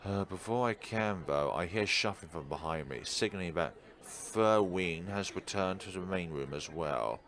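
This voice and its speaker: A man's voice: deep voice, normal speed, hardly any pauses, a bit bright. A man reading a visual novel aloud and commenting as a let's player, sometimes stumbling and correcting himself. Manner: stumbles over read lines and corrects himself. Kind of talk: game commentary and dramatic read-aloud narration.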